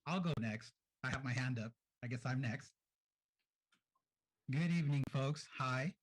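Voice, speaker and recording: Husky-voiced man introducing himself. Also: mid-range voice, a little slow. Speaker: panelist. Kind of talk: panel self-introduction.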